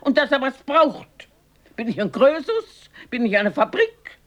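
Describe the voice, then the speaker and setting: An actress playing a feisty, gruff old grandmother with a blunt, emphatic way of talking in a radio drama. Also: high voice, big pitch swings, a little slow, a bit bright, exaggerated voice. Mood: indignant. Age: older.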